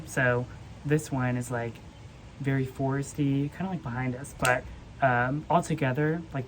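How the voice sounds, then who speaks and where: A young woman with an extremely low voice hosts a casual chat. Her delivery is informal and conversational, dotted with fillers. Clear ambient noise runs behind her. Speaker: book vlog host. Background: ambient noise, people in the distance.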